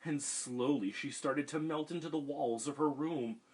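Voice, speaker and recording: A man reading a picture book aloud for a children's storytime, animated and expressive. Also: a little high, moderate pace, husky.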